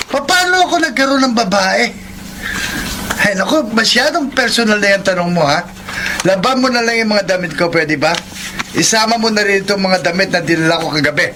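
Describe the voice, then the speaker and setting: An actor playing a defensive, short-tempered cheating husband in a Filipino radio drama, dismissive and blustering, with a Filipino accent. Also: male voice, high voice, lively intonation, normal speed, long pauses. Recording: noisy radio drama with background ambience.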